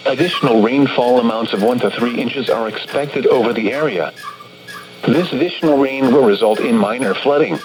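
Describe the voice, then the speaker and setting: A synthetic American male voice of medium pitch delivers official weather alerts. The reading is formal and impersonal, broken into stiff segments. It comes through a weather radio broadcast with clear noise behind it. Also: moderate pace. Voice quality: synthetic text-to-speech sound. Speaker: automated weather radio announcer. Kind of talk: scripted emergency weather bulletin.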